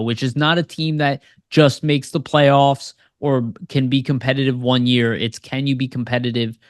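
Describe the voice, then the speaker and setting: A man's voice: mid-range voice, no room sound. Chatty American man, dry close sound. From United States. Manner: rambling, loose, many fillers. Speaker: podcast co-host. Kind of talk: casual sports podcast chat.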